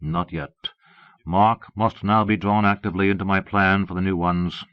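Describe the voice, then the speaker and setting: A man's voice: deep voice, steady tone, moderate pace, clean tone, dry recording, close to an everyday voice. A man voicing a scheming, possessive disembodied evil mastermind in an audiobook, commanding, grandiose and darkly doting. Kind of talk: audiobook character voice. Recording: muffled old cassette audiobook.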